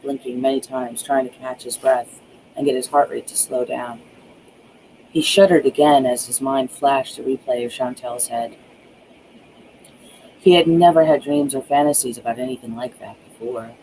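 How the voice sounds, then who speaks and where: A narrator reads a dark thriller in a dramatic audiobook style. The voice is mellow and full of vocal fry, leaning on key words with hushed, drawn-out emphasis. Some background noise sits under the homemade recording. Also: medium pitch, low-quality recording. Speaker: author reading own book aloud.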